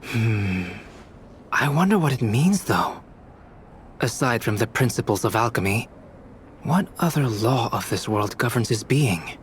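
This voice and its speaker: Man as a measured, scholarly alchemist. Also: mid-range voice, expressive, a little hiss or hum, lightly acted. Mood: pensive. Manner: measured, formal. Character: calm, scholarly young male alchemist, thoughtful and polite. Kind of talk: video game voice acting. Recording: music behind the voice.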